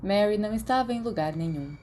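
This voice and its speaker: A Brazilian woman narrates fiction from a script, like an audiobook. Her voice is soft-edged and mellow, sitting at a middle pitch. Faint noise runs behind the recording. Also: expressive, quick pace. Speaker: podfic narrator.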